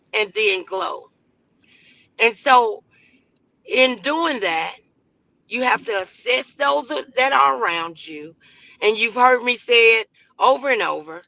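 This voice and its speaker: Slow-paced man, gravelly edge, preacher-like motivational host, exhorting, repetitive emphasis, rhetorical questions, slightly muffled lo-fi sound. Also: very high-pitched, pushed and bright.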